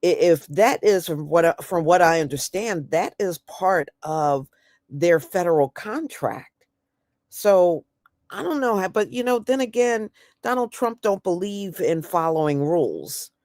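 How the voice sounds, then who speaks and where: A woman's voice: lowish voice, lively intonation, measured pace, a little mellow, no echo, clean studio sound. A woman with an American accent giving blunt, folksy, casual and opinionated commentary as a livestream host. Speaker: host of an online news commentary stream.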